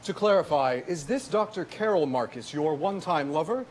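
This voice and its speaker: Logical alien first officer, acted by a man: deadpan, formal, slightly high voice over faint live-room noise. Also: normal speed, natural voice. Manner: precise, deadpan. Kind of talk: comic stage reading.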